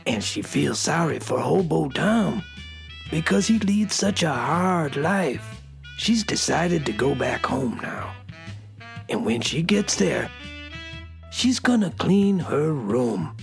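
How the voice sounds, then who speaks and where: Woman as a gravelly, unhurried beatnik storyteller. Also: deep voice, sing-song, faint music behind, exaggerated voice. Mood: confiding. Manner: laid-back beatnik slang. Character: hip beatnik cat storyteller addressing little hepcats. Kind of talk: children's story narration.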